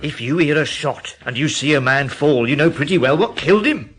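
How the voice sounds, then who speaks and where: A man's voice: a little high, lively intonation, fairly quick, fairly bright tone. An actor playing an agitated, suspicious man haunted by terror, speaking in clipped, brooding phrases in a radio drama. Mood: brooding, fearful.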